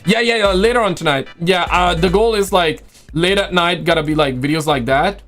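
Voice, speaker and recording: A man with a fairly high-pitched voice talks casually to his livestream viewers. He rambles in loose slang and often repeats himself, his voice a little worn as if it is going. Music plays faintly behind him. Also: normal speed, a bit bright. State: losing his voice. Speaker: livestream host and video creator.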